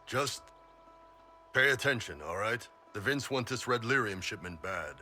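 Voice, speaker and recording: An actor playing a gruff, easygoing horned giant mercenary captain in a fantasy video game, blunt and folksy, with a deep, husky voice. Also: male voice, expressive, unhurried, sharp tone, faint music behind, heavily acted. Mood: commanding.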